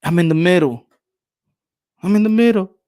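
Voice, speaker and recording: Excitable boxing channel host, higher voice. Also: male voice, moderate pace. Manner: animated, repeats phrases, reads chat aloud. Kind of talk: casual livestream chat.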